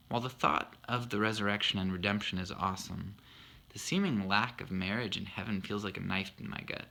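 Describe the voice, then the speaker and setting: A man's voice: fairly low pitch, creaky, sharp tone. A man in his thirties explaining a poem he wrote for his wife, earnest and thoughtful with a self-deprecating humor. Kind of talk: reflective spoken monologue.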